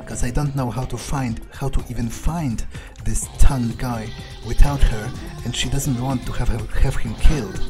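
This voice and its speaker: A man's voice: mid-range voice, fairly even intonation, normal speed, few pauses. A man role-playing a game character in a playthrough, thinking aloud in a hesitant, musing way. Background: game music.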